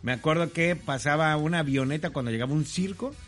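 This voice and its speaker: A man's voice: on the high side, fast. A Mexican man co-hosting a radio show with teasing, slangy, casual banter. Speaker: radio co-host.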